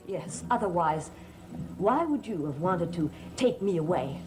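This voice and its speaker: An actress playing an anxious, stubborn middle-aged mother in a melodramatic gothic soap opera, with halting, hesitant phrasing. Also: on the low side, expressive, poor audio. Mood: defensive. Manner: halting, hesitant, repeating words. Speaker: actor in a TV drama. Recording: old TV soundtrack under loud music.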